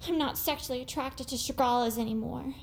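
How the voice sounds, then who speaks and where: A woman playing a polite, naive young female superfan in an audio drama, earnest and excitable. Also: high-pitched, a little fast, gravelly, a little hiss or hum. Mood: earnest, sheepish.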